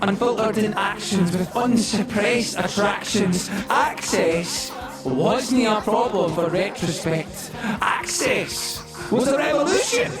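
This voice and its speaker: Slow, echoing, brooding spoken-word Retrospect. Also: male voice, sits high, expressive. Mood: confessional, intense. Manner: deliberate, incantatory, rhythmic. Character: Retrospect, brooding personified memory preaching in rhyme. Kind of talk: poetic spoken-word monologue in an audio play. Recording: very echoey, distant voice under loud music. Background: music score.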